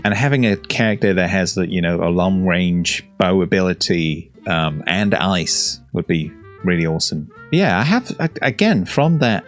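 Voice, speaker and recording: A man hosting a reaction video, thinking aloud in a casual, hesitant way with many fillers. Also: low-pitched. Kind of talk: casual musing commentary.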